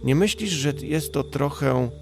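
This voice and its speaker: A man voicing a naive, excitable young boy troll in a children's storybook, with an earnest, childlike manner. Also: a little high, unhurried, noisy background, pitched above the performer's own voice. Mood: curious.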